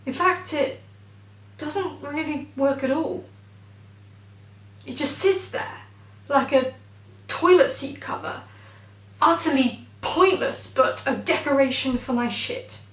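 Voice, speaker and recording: A young woman performs spoken word poetry in a high, croaky voice that sounds stuffed up, as if her nose is blocked. Her delivery is wry and self-mocking, landing each line with punch. She sits back from the mic in a roomy, echoing space. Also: slow pace, long pauses, fairly bright tone, distant mic. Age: 20s.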